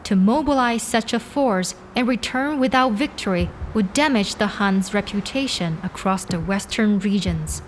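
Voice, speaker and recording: A woman narrating a scripted drama in a measured, solemn storytelling manner. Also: mid-range voice, animated, slight background noise, poor audio.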